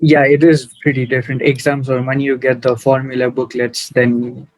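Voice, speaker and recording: A man's voice: mid-range voice, level tone, normal speed, rough recording. A young man, hosting a casual podcast interview. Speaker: podcast host.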